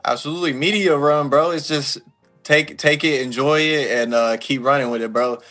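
A man in the middle of the pitch range hosts an interview over webcam. His questions come easy and relaxed, broken up by little "uh" fillers. Faint music sits under his voice. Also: moderate pace. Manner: relaxed, friendly, hesitant fillers. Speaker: podcast host interviewer.

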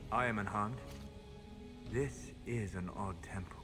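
A man playing a calm, scholarly companion in a fantasy game, speaking in a measured, reflective way. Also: fairly low pitch. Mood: calm, musing. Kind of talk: game dialogue. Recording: quiet game audio, music behind.

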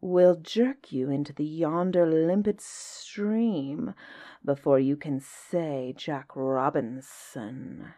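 A woman acts out a storybook rock snake who speaks very slowly and grandly. He is wordy and self-important, weighing out each long word with care. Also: low voice, dark tone. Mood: pompous, urgent. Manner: pompous, ponderous, deliberate. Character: pompous, wordy, helpful male python rock snake. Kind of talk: storybook character voice.

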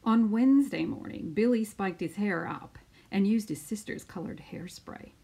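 A woman in her fifties with a creaky, slightly husky voice and a medium pitch. She speaks as a teacher does when reading a picture book to children, phrasing deliberately and leaning on key words. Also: animated, dark tone. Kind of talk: children's storybook read-aloud.

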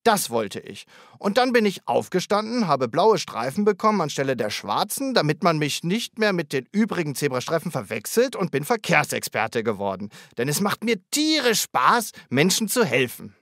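Higher-pitched, lively cartoon zebra. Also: male voice, normal speed, no echo, studio quality. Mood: chatty, rambling. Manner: hesitant, rambling, childlike enthusiasm. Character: shy yet proud, friendly talking zebra, former crosswalk. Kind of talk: children's audio drama acting.

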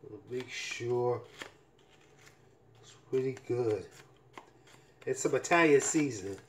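Middle-aged man cooking in an echoey kitchen. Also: medium pitch. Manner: halting, repeats himself as he works. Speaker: home cook hosting a cooking video. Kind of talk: casual cooking demonstration, talking while working. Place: small home kitchen, indoors. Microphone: camera or phone mic at varying distance. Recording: roomy kitchen echo, clattering pots, noisy.

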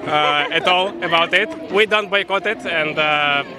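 A man's voice: on the high side, moderate pace. A young man with a Russian accent giving brief, guarded answers in a street interview outdoors. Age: young adult. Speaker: interviewed visitor. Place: busy outdoor exhibition plaza. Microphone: handheld reporter microphone. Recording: crowd chatter on outdoor plaza.

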